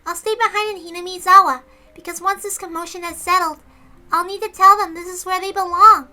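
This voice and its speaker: Woman voicing a very high, cutesy resolute little girl. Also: fairly even intonation, fairly quick, sharp tone, heavily acted. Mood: quietly resolute. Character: young girl, cheerful, stubbornly resolute, cutesy. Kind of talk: dramatic visual novel read-aloud.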